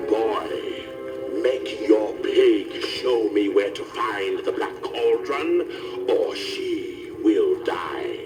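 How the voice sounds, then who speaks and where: A man's voice: lowish voice, animated, very slow, full character voice. An actor playing a dreadful masked evil king on a storybook record, commanding and threatening. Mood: menacing. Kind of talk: storybook record villain acting. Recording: distant voice under loud music.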